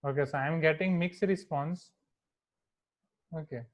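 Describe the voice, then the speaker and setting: A man's voice: on the high side, normal speed. A male maths teacher with an Indian accent teaching an online class, mixing in Hindi and checking in with students often. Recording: echoey tinny online class audio.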